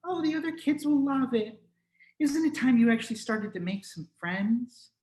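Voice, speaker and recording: A man's voice: extremely high pitch, lively intonation, moderate pace, a little mellow, reverberant, pitched above the performer's own voice. A man voicing a nagging, doting middle-aged mother who fusses and chides. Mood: coaxing. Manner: chiding, fussy.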